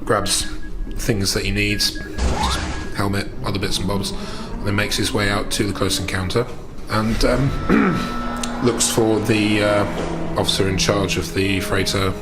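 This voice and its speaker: A man's voice: lowish voice, steady tone, measured pace. A man playing in a tabletop roleplaying game, improvising casually in a matter-of-fact, laid-back way. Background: music.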